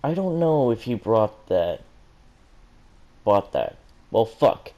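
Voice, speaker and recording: A man's voice: on the low side, big pitch swings, slow pace, dull audio. A man reading a story aloud as an amateur, stumbling now and then over words and correcting himself. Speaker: fan fiction reader and commentator.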